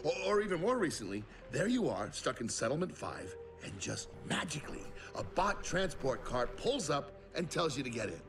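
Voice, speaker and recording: A sarcastic veteran soldier turned tycoon in a video game. He is glib and smug, swinging widely in pitch over a music bed. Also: big pitch swings. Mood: smug sarcasm. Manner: glib, snarky, drawn-out.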